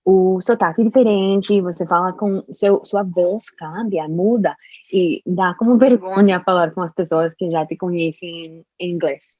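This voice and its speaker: A young woman, a native Spanish speaker, talking in an interview about learning Portuguese, with careful, halting speech and restarts. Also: on the low side, steady tone, phone-quality sound. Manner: slow, careful speech with frequent restarts and laughs. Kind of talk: casual conversational interview.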